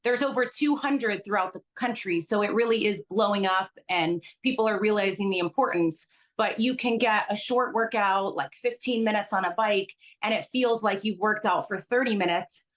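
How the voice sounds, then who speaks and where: A woman born in Belgium talks through her business on a video call. Her voice sits mid-range for a woman, and she explains things in an earnest, steady way. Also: mid-range voice, normal speed. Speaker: business owner guest. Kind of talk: casual virtual interview.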